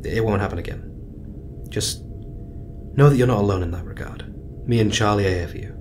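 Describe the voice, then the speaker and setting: Casting: male actor as kindly mission commander, low register, thoughtful, hesitant, apologetic, naturalistic sci-fi drama, rumbling noise behind. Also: normal speed, natural voice. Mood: apologetic, reassuring. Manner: gentle, musing, hesitant. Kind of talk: naturalistic audio drama acting.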